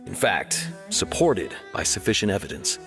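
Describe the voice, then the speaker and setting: Male court guard, game role: lowish pitch, formal, dutiful, matter-of-fact official reporting. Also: big pitch swings, moderate pace. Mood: grave, official. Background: game music.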